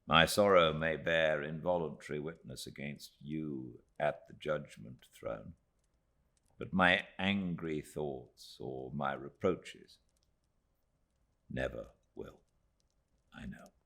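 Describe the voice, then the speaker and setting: A British man reading a literary audiobook in measured, dramatic phrases with deliberate pauses. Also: rumbling low, creaky, bright, forceful tone. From Britain. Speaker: audiobook narrator.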